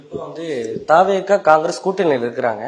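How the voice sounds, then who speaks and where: A man's voice: fairly high pitch, animated, a little fast. A man with a Tamil Nadu accent asking questions as a news reporter in colloquial interview style.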